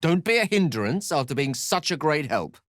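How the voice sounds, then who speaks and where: An actor with a British accent voicing a learned, proud, romantic wizard in a video game, with eloquent, slightly pompous phrasing. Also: male voice, a little high, very animated, normal speed, fairly bright tone, dry recording, clean studio sound. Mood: earnest, firm. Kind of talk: video game character voice lines.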